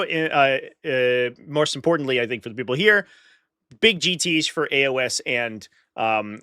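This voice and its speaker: A man's voice: a little high, sharp tone, dry recording. A man with a stuffy nose from a flu, hosting a live stream in casual chat, talking straight to his viewers with dry sarcastic asides.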